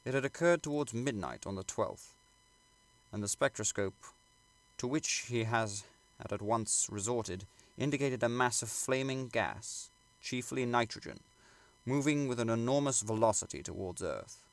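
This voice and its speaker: A man reading literary fiction aloud as an audiobook narrator, in a measured, formal storytelling manner. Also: medium pitch. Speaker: audiobook reader.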